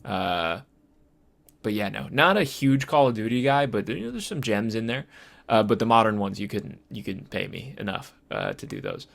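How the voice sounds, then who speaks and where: A man's voice: on the low side, sing-song, normal speed, creaky, fairly bright tone. A man co-hosting a casual movie and game podcast, hesitant as he thinks aloud. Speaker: podcast co-host.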